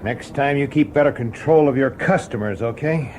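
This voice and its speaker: An actor playing a blunt, world-weary police detective with an impatient manner, in an old radio drama. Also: male voice, medium pitch, moderate pace, a little mellow, slight background noise, lightly acted. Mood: stern, exasperated. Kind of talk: old-time radio drama acting and narration.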